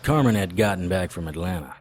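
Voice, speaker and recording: Low-voiced, deadpan hard-boiled private detective. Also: male voice, fairly quick, slight background noise. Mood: brooding narration. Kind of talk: noir audio drama narration and dialogue.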